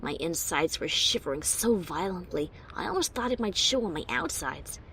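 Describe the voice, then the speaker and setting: A woman's voice: fairly low pitch, lively intonation, moderate pace, fairly bright tone, slight background noise. A woman playing a wry but secretly anxious adventurer pony who narrates her own story expressively, with bravado over nerves. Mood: shaken. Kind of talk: first-person dramatic story narration.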